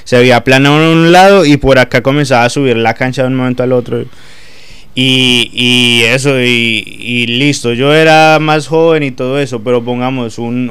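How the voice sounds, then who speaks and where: A man's voice: mid-range voice, fairly bright tone, clear, resonant tone. A young adult man from Colombia with a Colombian Spanish accent, talking as a sports pundit in a casual panel, hesitant with many fillers.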